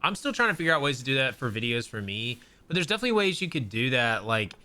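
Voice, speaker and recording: A young man with a bright, forward voice chats on a gaming podcast. He rambles, leans on fillers and lets his sentences trail off. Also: medium pitch, big pitch swings, quick pace. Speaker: podcast co-host. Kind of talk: casual chat.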